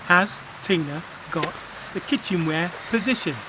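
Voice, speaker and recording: An actor playing a put-upon, awkward warehouse worker and soft-hearted dad in an audio comedy drama. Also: male voice, high voice, measured pace. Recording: clear rain and outdoor ambience noise.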